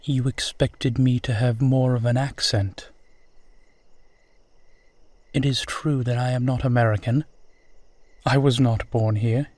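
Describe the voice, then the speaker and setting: A man playing a proud, amused centuries-old vampire in a roleplay audio, speaking in a measured, theatrical, formal and condescending way. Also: mid-range voice, fairly even intonation, a little mellow, no echo, natural voice. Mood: amused, probing. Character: suave 450-year-old male vampire guiding a lost stranger, proud, amused. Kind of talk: slow dramatic roleplay audio monologue.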